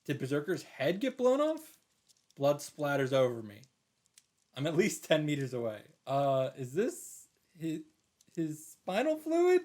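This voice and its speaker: A man reading a visual novel aloud as a streamer, in an emphatic, dramatic storytelling style with drawn-out pauses. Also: fairly high pitch, huge pitch swings, a little mellow. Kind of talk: dramatic narrated read-aloud.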